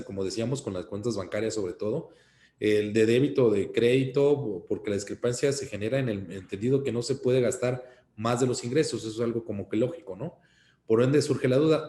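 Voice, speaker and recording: Mexican guy doing an accountant webinar, halting cautionary explainer, medium pitch, roomy echo. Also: a little mellow. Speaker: accountant giving tax advice. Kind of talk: informal instructional webinar talk. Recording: roomy, echoing sound.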